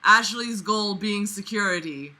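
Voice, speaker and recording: A woman's voice: mid-range voice, measured pace, sharp tone. A young woman reading a book aloud and breaking in with mocking, incredulous, sweary commentary in a theatrical, exasperated manner. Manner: mocking, sarcastic. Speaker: host of a comedic book read-along.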